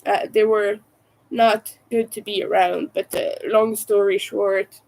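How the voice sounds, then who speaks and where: A woman with a raspy, soft-spoken voice, sick and low on energy, chatting casually and hesitantly on a livestream. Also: steady tone, slow pace, low-quality recording. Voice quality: raspy from vocal cord damage. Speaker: livestream host, crafter.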